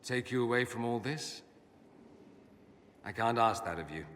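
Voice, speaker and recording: Man playing a witty, bright-voiced nobleman mage, echoing hall. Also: mid-range voice, measured pace, slight background noise, lightly acted. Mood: tender, firm. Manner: wry, eloquent, theatrical phrasing. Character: witty, earnest, self-questioning mage nobleman, adult man. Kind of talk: video game cutscene acting.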